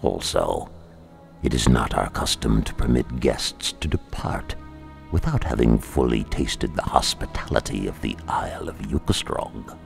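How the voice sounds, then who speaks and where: Man voicing a silky, sinister king, extremely deep. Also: animated, vocal fry, soft background music, full character voice. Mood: silky menace. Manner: slow, silky, falsely polite. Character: sinister tall island king, menacingly courteous and sly.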